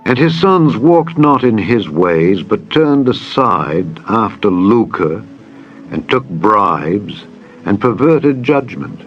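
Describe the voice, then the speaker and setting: A man narrating a dramatized scripture reading in a solemn, measured, formal manner. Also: fairly low pitch, very animated, muffled recording. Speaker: Bible narrator. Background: background music.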